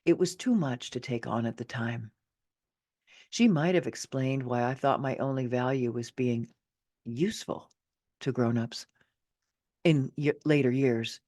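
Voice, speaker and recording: A middle-aged woman reading her own personal essay as scripted memoir narration, expressive and candid, with wry touches and deliberate emphasis. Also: extremely low pitch, no echo, studio quality.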